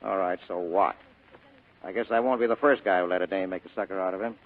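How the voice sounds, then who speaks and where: A man's voice: low-pitched, steady tone, fast talker, heavy background noise. A man playing a wry, tough, cynical private detective in a 1940s radio drama, slangy and sardonic. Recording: narrow, tinny old radio broadcast.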